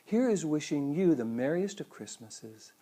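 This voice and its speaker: A man's voice: on the high side, very animated, measured pace, dark tone. A middle-aged man giving a motivational talk as a host, with earnest, emphatic phrasing. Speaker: psychologist and speaker hosting a weekly show.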